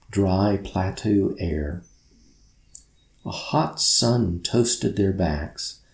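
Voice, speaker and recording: A deep-voiced man narrates a storybook at a slow, measured pace. His delivery is gentle, suited to bedtime, with a gravelly fry in the voice. It is scripted narration. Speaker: author reading own story. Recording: roomy, echoey sound.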